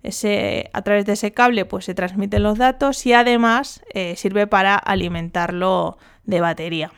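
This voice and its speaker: A woman hosts a casual solo podcast. She is chatty and rambles, with jokey asides. Her voice sits at a middle pitch with a gravelly, creaky texture. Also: fairly even intonation, normal speed. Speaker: podcast host.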